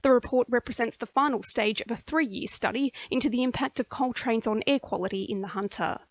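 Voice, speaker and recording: A woman's voice: on the high side, fairly quick, no room sound, muffled recording. A woman with an Australian accent reading a scripted radio news bulletin. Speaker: news reader.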